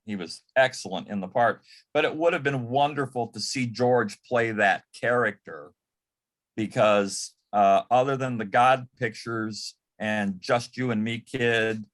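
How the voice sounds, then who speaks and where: A man's voice: mid-range voice, measured pace, fairly bright tone. A man speaking as a panel guest, reminiscing in a conversational, anecdotal way.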